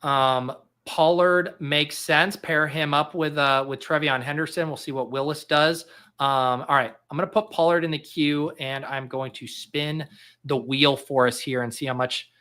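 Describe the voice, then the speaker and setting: A man's voice: a little high, fairly even intonation, unhurried, sharp tone, smooth. A man in his thirties hosting a fantasy football draft stream, chatting casually with lots of fillers and a self-mocking, rambling way of talking. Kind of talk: casual live-stream chat.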